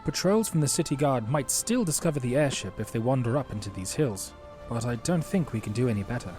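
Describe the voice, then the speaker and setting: A man playing a courteous, watchful young knight commander in an audio drama, speaking formally and earnestly in measured phrases. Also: mid-range voice, expressive, a little mellow, soft background music, natural voice. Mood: cautioning.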